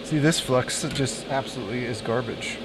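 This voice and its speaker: Repair streamer, male, relaxed think-aloud banter; noisy room hum underneath. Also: medium pitch, moderate pace. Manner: thinks aloud, chats with viewers between tasks. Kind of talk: casual live-stream chat while soldering.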